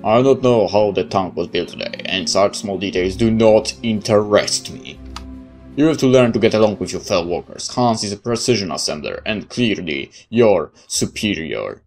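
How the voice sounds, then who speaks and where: A man's voice: lowish voice, expressive, moderate pace, a little mellow, faint music behind. A man voicing a stern, cold military superior in a visual novel, lecturing in a clipped, authoritative way.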